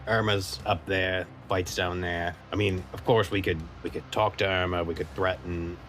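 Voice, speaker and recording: A man's voice: deep voice, steady tone, moderate pace, a bit bright, slight background noise. A man playing a tabletop game, improvising casually in character with a hesitant, rambling delivery.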